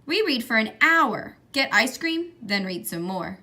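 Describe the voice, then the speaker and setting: A woman reading a children's picture book aloud in an expressive storytelling style. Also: a little high, unhurried. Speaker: read-aloud reader.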